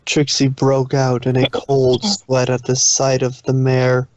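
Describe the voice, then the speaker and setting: A man's voice: medium pitch, monotone, measured pace, darkish tone, no echo. A man narrating a story aloud as a fan fiction reader, occasionally stumbling over the text.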